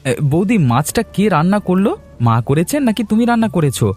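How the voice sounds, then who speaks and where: A man's voice: high-pitched, big pitch swings, quick pace, darkish tone. A man voicing a deferential younger brother, a village farmer, in a cartoon, with music behind him. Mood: cheerful. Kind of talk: cartoon dialogue acting. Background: background music.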